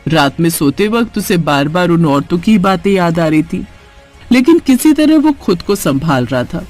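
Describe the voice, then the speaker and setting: High-pitched, animated male story narrator. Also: moderate pace, soft background music. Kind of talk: scripted cartoon story narration.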